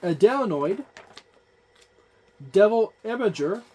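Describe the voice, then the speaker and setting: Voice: mid-range voice, animated, slow pace, dark tone. Rambling, casual tabletop channel host. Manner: loose and rambling, many fillers; points things out on the page. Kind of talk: casual book walkthrough.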